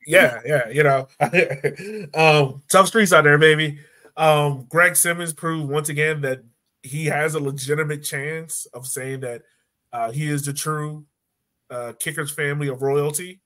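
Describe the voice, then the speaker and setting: A man's voice: fairly high pitch, steady tone, unhurried, sharp tone. A man in his thirties hosting a sports podcast from home in a casual, joking style. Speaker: podcast host. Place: room at home, indoors.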